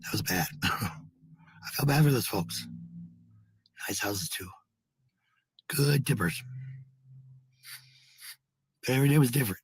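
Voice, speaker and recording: Very raspy, gravelly man, chatting bluntly. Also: mid-range voice, lively intonation, slow, long pauses, dry recording, poor audio. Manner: blunt, swears freely. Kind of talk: casual rambling chat.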